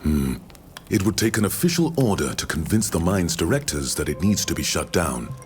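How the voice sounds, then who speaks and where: A very low-pitched, learned gentleman scholar speaks with formal courtesy and careful wording. His intonation moves freely, as he explains things like a well-read visitor from abroad in a fantasy game. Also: sing-song, soft background music. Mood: cautious, advising. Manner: measured, formal, precise wording. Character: courteous, learned male foreign scholar from the continent. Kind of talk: video game dialogue acting.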